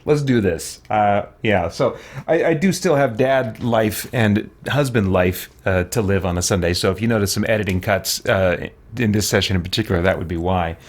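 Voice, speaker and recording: A man's voice: on the low side, normal speed. A middle-aged man giving casual, conversational let's play commentary as a gaming streamer, rambling and thinking aloud.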